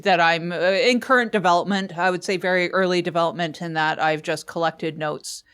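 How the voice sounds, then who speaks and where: A woman co-hosting an improvised comedy advice show, talking haltingly, repeating and correcting herself in casual banter. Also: on the low side, steady tone, fairly bright tone, clean tone. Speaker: comedy podcast host.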